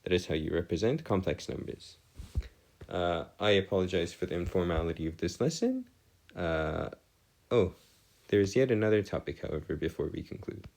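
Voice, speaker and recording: Deep-voiced male tutor, halting and animated. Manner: formal wording, halting, self-correcting. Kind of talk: informal maths lesson over a digital whiteboard.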